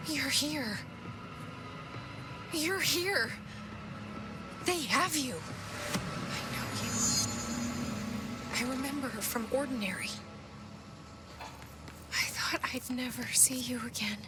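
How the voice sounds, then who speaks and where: A determined young woman in a video game drama. She speaks slowly and intimately, often close to a whisper, as if thinking aloud, with loud music underneath. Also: sits high. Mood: searching, emotional. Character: determined, guarded young woman searching for answers. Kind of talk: video game drama acting, inner monologue.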